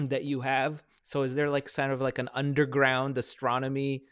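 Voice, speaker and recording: A man's voice: medium pitch, flat delivery, moderate pace, smooth. A man hosting a live online panel, asking loose, rambling questions full of fillers. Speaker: panel host. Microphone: video call.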